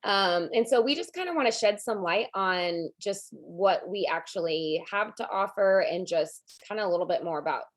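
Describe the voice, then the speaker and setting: A woman with a raspy voice hosting a video call, chatting casually and laughing often. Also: medium pitch, lively intonation, moderate pace, hardly any pauses. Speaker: host of a team video call. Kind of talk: casual chat.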